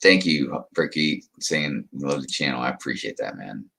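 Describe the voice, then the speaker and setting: Deep-voiced casual man chatting with viewers. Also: expressive, normal speed, vocal fry, sharp tone. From United States. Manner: rambling, chuckling, talks to viewers by name. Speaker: livestream host reading chat. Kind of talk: casual chat.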